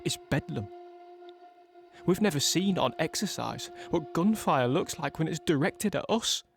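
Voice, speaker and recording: An actor playing a young wounded British soldier in an audio drama, reliving battle in a halting present-tense monologue with repeated words. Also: male voice, on the high side, expressive, creaky, soft background music. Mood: shaken, urgent. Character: young British soldier, wounded in Normandy, shaken but dogged.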